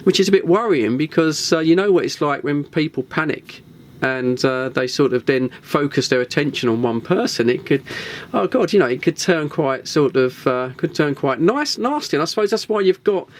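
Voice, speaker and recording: A man's voice: on the high side, expressive, normal speed. An Englishman chatting casually and unscripted to viewers as a video host, rambling and thinking aloud, repeating himself and tagging questions on the end. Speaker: video host and CB radio hobbyist. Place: indoors in a small radio shack.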